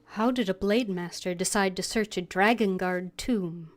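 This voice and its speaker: A woman playing a composed, willing adventurer hero in a fantasy video game, replying briefly and in a measured way. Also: medium pitch, close to an everyday voice.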